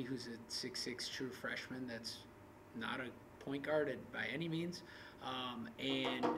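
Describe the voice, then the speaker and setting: A man in his thirties, a basketball coach, talking candidly in an interview with halting restarts. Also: medium pitch, background noise. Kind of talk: post-game interview. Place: indoor media room in front of a backdrop.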